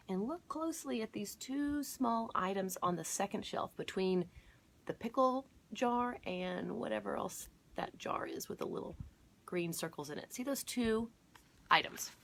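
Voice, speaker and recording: A middle-aged woman hosting a children's storytime, reading a picture book aloud and pausing to point out details for young viewers. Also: medium pitch. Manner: explanatory, inviting listeners to look closely and notice details. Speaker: children's storytime host at a museum.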